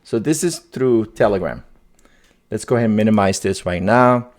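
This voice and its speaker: A man with a lowish voice hosts an informal tech walkthrough. He explains things step by step as if thinking aloud, sometimes repeating a phrase before moving on. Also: moderate pace. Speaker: tech video host. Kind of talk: casual tutorial screen demo.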